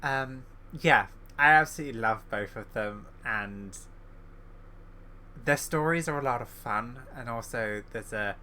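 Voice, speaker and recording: A man's voice: medium pitch, measured pace, sharp tone. A man giving casual, enthusiastic let's-play commentary as a gaming YouTuber.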